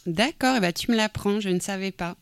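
A woman co-hosting a radio music show, chatting in a relaxed way and introducing records. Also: medium pitch, fairly quick, creaky, clean tone, no echo, clean studio sound. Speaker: radio co-host. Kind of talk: casual music chat.

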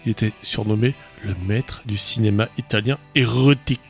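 A man's voice: fairly low pitch, fairly even intonation, moderate pace, vocal fry. A man co-hosting a music radio show, chatting casually and sharing film facts in a talkative, knowledgeable manner. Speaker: radio co-host.

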